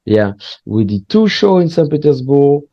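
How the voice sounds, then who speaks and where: A man's voice: fairly high pitch, sing-song, dry recording, highs cut off. Hesitant man. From France. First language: French. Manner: hesitant, frequent pauses and restarts, candid. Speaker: guest, stand-up comedian. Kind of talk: casual interview chat.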